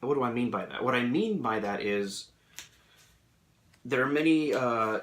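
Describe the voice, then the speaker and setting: A man's voice: medium pitch, pushed and bright. A young man in a room at home, talking thoughtfully about collecting cards while he sleeves them, rambling a little with plenty of fillers. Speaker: Magic: The Gathering YouTuber. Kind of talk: casual reflective monologue.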